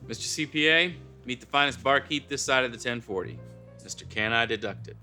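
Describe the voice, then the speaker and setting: A man playing a terse, hardboiled private detective in a noir parody, with clipped deadpan delivery. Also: medium pitch, fairly quick, faint music behind.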